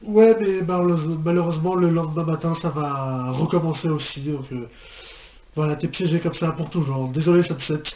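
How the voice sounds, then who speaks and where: A young man giving unscripted reaction commentary, halting and repeating himself in an incredulous way. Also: fairly high pitch, dark tone, soft background music. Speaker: reaction video host. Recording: echoey room with background noise.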